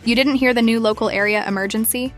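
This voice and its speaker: A woman's voice: fairly high pitch, fairly even intonation, fast talker, soft background music, lightly acted. A woman playing a caring, concerned young woman at home in earnest, conversational audio drama dialogue. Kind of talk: casual domestic drama dialogue.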